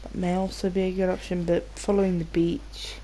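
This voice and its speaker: A woman's voice: lowish voice, level tone, fairly quick, mellow, slight background noise, low-quality recording. A British woman giving casual, rambling gameplay commentary, informal and sweary, sounding slightly nasal from a cold. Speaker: gaming video host.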